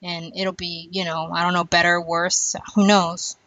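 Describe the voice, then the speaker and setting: A woman hosting a casual podcast chat, talking fast and laughing often between points. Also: lowish voice, dry recording. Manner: chatty, laughs often, animated asides. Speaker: podcast host. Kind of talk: casual chat about a TV show. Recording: dull lo-fi, dry.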